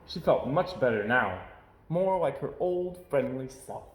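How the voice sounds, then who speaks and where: Casting: male children's story narrator, highly expressive intonation, recorded in an echoey room. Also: measured pace. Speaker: storybook reader. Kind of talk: animated children's read-aloud narration.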